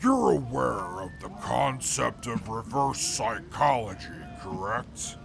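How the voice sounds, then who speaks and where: A man playing a haughty, stern companion in an audio drama, speaking in a formal, pompous, deadpan way. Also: medium pitch, takes it slowly, creaky, music in the background. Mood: smug. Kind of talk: post-apocalyptic audio drama acting.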